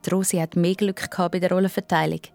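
Low-voiced Swiss German woman narrating. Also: flat delivery, quick pace, gravelly, darkish tone, soft background music. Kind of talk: scripted children's audio story narration.

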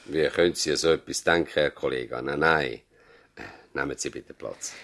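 Deep-voiced, dignified Swiss country doctor. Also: male voice, moderate pace, fairly bright tone, a little hiss or hum. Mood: collegial, courteous. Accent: Swiss German. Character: seasoned family doctor, dignified, grave, idealistic mentor. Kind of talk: 1970s radio play acting.

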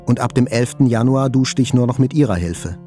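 An actor playing a wounded journalist, narrating his hospital recovery in a measured, reflective literary style. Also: medium pitch, warm tone, faint music behind, close to an everyday voice. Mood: reflective, tender. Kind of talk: scripted literary narration, radio play.

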